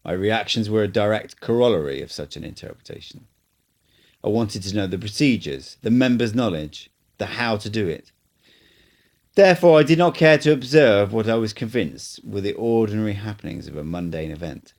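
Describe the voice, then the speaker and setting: A man reads a first-person audiobook in a steady, earnest narration. His voice sits somewhat low. The delivery is that of an amateur reader, not a polished professional. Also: moderate pace.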